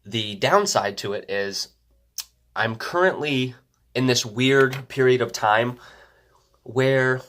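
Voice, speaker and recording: A man's voice: medium pitch, slow, long pauses, a bit bright. A stuffed-up young man talking casually to his camera at home, rambling with many ums and restarts. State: congested, stuffed-up nose. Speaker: vlogger. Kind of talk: casual vlog talk to camera.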